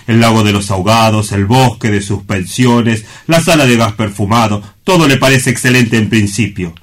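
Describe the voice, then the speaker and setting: A man's voice: lowish voice, natural voice. An actor playing a gloomy, dutiful assistant in a radio drama, reporting to his superior in a dry, deferential, sombre manner. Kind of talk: theatrical radio drama acting.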